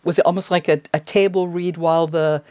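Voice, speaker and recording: A woman's voice: lowish voice, fairly even intonation, a little fast, a little mellow. A woman speaking as a podcast host, in a conversational interviewing style.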